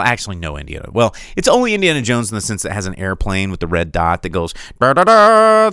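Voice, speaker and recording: A man's voice: low voice, smooth, no echo, clean studio sound. A man in his thirties hosting a podcast, chatting casually and sarcastically about movies and reading out viewer comments, with lots of fillers and restarts. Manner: rambling, animated, sarcastic. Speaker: podcast host. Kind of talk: casual live-stream chat about movies.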